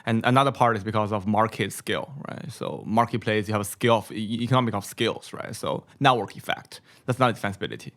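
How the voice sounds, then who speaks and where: A man's voice: on the low side, fairly even intonation, fast talker, hardly any pauses, fairly bright tone. A man in his thirties from China with a Mandarin accent, a guest on a podcast, talking casually and ending many phrases with "right?", close to a studio mic. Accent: Mandarin Chinese. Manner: tags sentences with "right?", many repeats. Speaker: venture capital guest. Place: small studio room, brick wall. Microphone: studio mic on boom, headphones.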